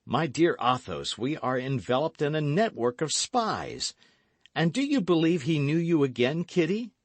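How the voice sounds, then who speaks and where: A man voicing an eager, brash young gentleman in an audiobook, speaking with emphatic, commanding and affectionate delivery. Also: on the high side, very animated, measured pace, dry recording, voice pushed up. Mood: excited, brisk. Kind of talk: audiobook character acting.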